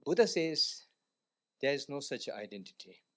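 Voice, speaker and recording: A man speaking on a panel, slow and halting, with many fillers as he explains. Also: medium pitch, expressive, fairly bright tone. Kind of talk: panel discussion.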